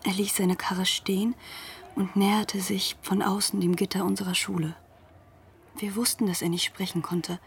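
A woman playing a school pupil who narrates a literary radio drama in a hushed, deliberate way. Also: medium pitch, little pitch movement, vocal fry, slight background noise, natural voice. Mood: hushed, observant. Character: former school pupil recounting events as narrator.

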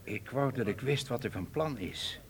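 An actor playing a cautious, sceptical ringleader in a radio drama, questioning and authoritative. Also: male voice, medium pitch, animated, normal speed, close to an everyday voice.

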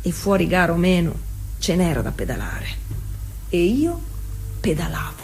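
An actress playing a plucky, playful 1920s racing cyclist in a musing, colloquial theatrical monologue. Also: female voice, on the low side, big pitch swings, moderate pace, a little mellow, faint music behind. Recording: noisy ambient background.

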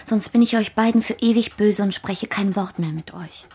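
Childlike young wife, radio drama, very breathy, soft-edged, affectionate and pouty. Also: female voice, mid-range voice, moderate pace. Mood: pleading, pouting. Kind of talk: German radio play acting.

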